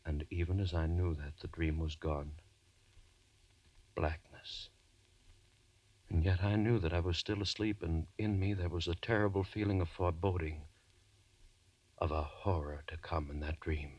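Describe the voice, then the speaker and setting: Haunted condemned man, low dry voice, feverish and halting narration, 1940s radio horror. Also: fairly even intonation, dry recording, highs cut off. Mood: haunted, dread. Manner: theatrical, halting, feverish first-person narration. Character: haunted condemned man recounting his nightmares, terrified, desperate. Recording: 1940s radio broadcast recording.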